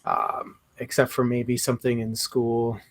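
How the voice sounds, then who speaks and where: A man's voice: mid-range voice, completely flat delivery, measured pace. A young man co-hosting a casual book talk, hesitant with many fillers and self-mocking humor. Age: young adult. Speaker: book podcast co-host.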